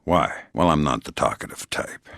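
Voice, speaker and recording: Game spy operative: middle-aged, gruff, very low register, sardonic and clipped, dry studio sound. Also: male voice, normal speed, no echo, full character voice. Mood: businesslike, dry. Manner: terse, dry, deadpan sarcasm. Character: hardened, sardonic male covert spy operative. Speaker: video game voice actor. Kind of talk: video game character dialogue.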